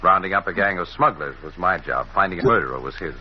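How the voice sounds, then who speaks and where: An actor playing a confident, teasing government agent and romantic lead in a muffled 1940s radio drama. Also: male voice, low voice, fast, lightly acted. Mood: explaining. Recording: muffled, faintly noisy old radio recording.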